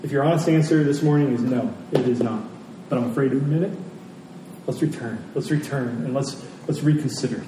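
A man preaching a sermon as a pastor, earnest and emphatic, pausing and repeating phrases for weight. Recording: echoey hall, distant mic, faint rumbling noise.

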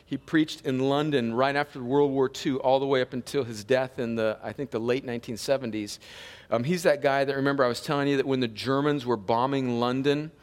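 A man's voice: mid-range voice, fairly even intonation, a little fast. A male pastor preaching a sermon, chatty at times and then emphatic, sniffling with a slight cold. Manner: conversational preaching that rises to emphatic peaks. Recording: long hall reverb.

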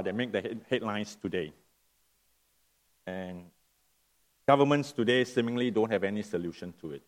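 A middle-aged man from Singapore, preaching as a guest. He sounds nasal from a lingering cough and speaks in a friendly, unhurried way, often stopping to rephrase. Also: medium pitch. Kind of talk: sermon.

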